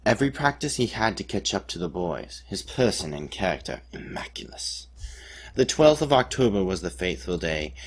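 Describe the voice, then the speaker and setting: A pompous balladeer acted by a man declaims a comic storytelling ballad. The voice is low, and the delivery is theatrical and emphatic, treating small misadventures as grand heroics. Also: breathy edge, exaggerated voice. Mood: grand, solemn. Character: mock-heroic balladeer, grandly recounting a young man's misadventure. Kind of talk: dramatic recitation of a comic rhyming ballad.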